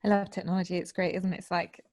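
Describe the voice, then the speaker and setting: A woman's voice: fairly low pitch, little pitch movement, fast, a little mellow. A woman co-hosting a show in casual banter, playful and encouraging, mostly giving short reactions. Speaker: co-host.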